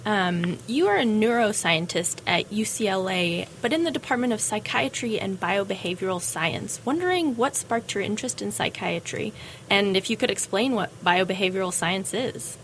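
A woman's voice: medium pitch, moderate pace. A woman, a physician acting as guest co-host on a radio health show, speaking in a friendly, polite way. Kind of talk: radio interview. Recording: faint background noise.

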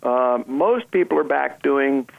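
Gravelly doctor guy calling in, tinny phone sound, easygoing, lots of uhs. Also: mid-range voice, slow, no echo. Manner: relaxed, plain-spoken, many "uh" fillers. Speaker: sports medicine doctor, phone-in guest. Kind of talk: casual radio interview.

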